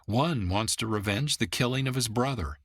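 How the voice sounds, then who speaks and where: A man's voice: mid-range voice, normal speed, no room sound. A man hosting a radio program, reading scripted narration. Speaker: producer and host.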